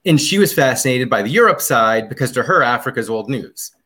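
A man's voice: mid-range voice, expressive, fairly quick, bright, forceful tone, breathy edge. Man rambling through a travel story. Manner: rambling, many ums and restarts. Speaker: podcast guest. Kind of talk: casual travel chat.

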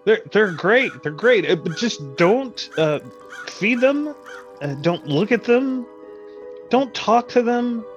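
A man's voice: high-pitched, expressive, slow pace, muffled recording, soft background music. A man playing a condescending boss at a wizard outpost in an improvised comedy sketch, stammering and repeating himself sarcastically. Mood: sarcastic.

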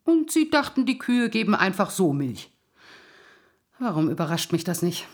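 A woman's voice: mid-range voice, sing-song, normal speed. An actress playing a stern female police detective in an audio drama, confrontational, sarcastic and indignant as she interrogates. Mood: indignant, lecturing.

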